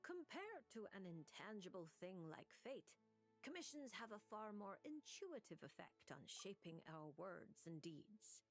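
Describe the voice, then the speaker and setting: A woman voicing an enigmatic, teasing fortune teller in a video game, with a sly, theatrical, unhurried delivery. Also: mid-range voice, big pitch swings. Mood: amused. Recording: game music behind. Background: game music.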